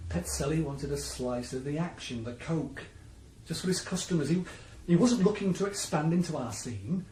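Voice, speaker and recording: A man's voice: a little high, animated, normal speed, a little mellow, reverberant, a little hiss or hum, close to an everyday voice. An actor playing a smug, coolly threatening male cocaine dealer in a radio play, with a sneering manner. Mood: boastful. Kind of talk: 1980s radio drama acting.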